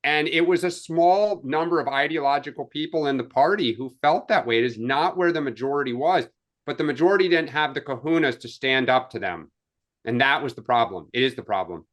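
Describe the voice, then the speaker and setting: A man's voice: medium pitch, fairly quick, a bit bright. A man on a panel discussion, talking conversationally and searching for his words.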